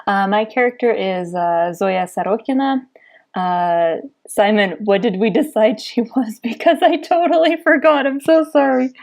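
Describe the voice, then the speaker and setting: Woman player, giggly, self-deprecating, casual chat, fairly high voice. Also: a little slow, creaky, darkish tone.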